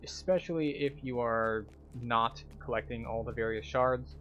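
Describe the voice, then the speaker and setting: A man's voice: mid-range voice, measured pace, fairly bright tone, highs cut off. A man giving methodical, instructional commentary as the tour guide of a video game walkthrough. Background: game music.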